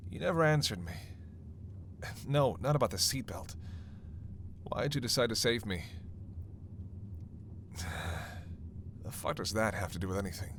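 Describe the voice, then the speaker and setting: A man's voice: medium pitch, fairly bright tone, slight background noise. A man playing a witty, flirtatious, weakened male vampire in an intimate audio roleplay, with playful, sardonic delivery. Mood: impatient, sarcastic. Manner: playful, sardonic, murmuring asides and sighs.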